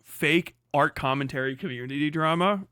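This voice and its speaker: Young man streamer, high voice, crude and incredulous, dry sound. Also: moderate pace, sharp tone, smooth, no echo. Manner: crude, incredulous, laughing a lot. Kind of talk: casual chat.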